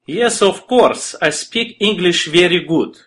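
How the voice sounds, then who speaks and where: A man playing a friendly, curious man in a scripted dialogue for language learners, speaking clearly and carefully. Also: sits high, expressive, takes it slowly, a bit bright, full character voice. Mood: polite, curious. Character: friendly curious adult man making small talk. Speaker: dialogue partner in a language lesson.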